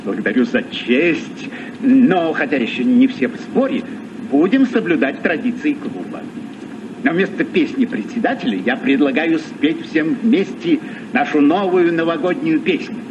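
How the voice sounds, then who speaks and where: An actor playing a gracious, gentlemanly ship captain in a vintage radio play, declaiming in a measured, formal way. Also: male voice, fairly high pitch, expressive, lightly acted. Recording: muffled, noisy old radio recording, distant.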